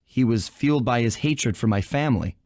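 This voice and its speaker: A man's voice: medium pitch, expressive, brisk, darkish tone, no room sound, studio quality, close to an everyday voice. A man playing a cool, confident young thief, a cartoon animal hero in a video game, with a wry, casual manner. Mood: challenging. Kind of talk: video game character acting.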